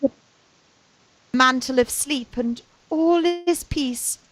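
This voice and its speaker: Woman playing a high-voiced, dreamy Victorian girl. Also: takes it slowly, poor audio. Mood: dreamy, wistful. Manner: wistful, poetic delivery. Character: dreamy, fanciful, innocent young Victorian woman, gentle orphaned ward. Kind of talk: Victorian period radio acting.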